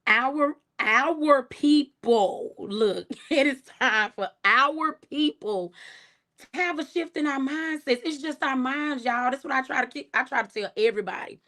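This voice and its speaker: A woman hosting her first livestream, chatting casually and warmly, thanking viewers by name and laughing often. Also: high-pitched, unhurried. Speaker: livestream host. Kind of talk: casual livestream chat reading comments.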